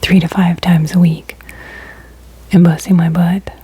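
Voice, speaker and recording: A woman in her thirties with a drawl, whispering close and soft in an ASMR chat, pausing often on ums. Her tone sits low, flat and even, with a husky, breathy grain. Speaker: ASMR creator.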